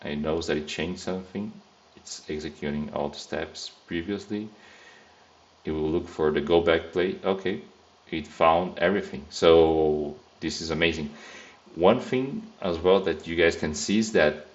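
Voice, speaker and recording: A man with a Brazilian Portuguese accent speaks in a very low, deep voice, thinking aloud in a loose, casual way as he works through a screen-recorded coding demo. The sound is a little dull and lo-fi, as if from a desk mic. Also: a little slow. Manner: casual, thinking aloud, frequent fillers and restarts. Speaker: software test engineer.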